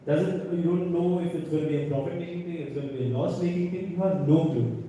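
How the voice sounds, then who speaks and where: A man's voice: on the high side, fast talker, mellow. A young man from North India with an Indian accent, sharing anecdotes on a panel in a conversational way, often checking in with "right?". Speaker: alumni panelist, businessman. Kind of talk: informal panel talk with anecdotes. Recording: echoey hall, distant mic, faint noise.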